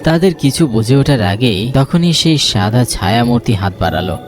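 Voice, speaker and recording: Male ghost-story narrator over clear music. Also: medium pitch, expressive, moderate pace. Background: background music.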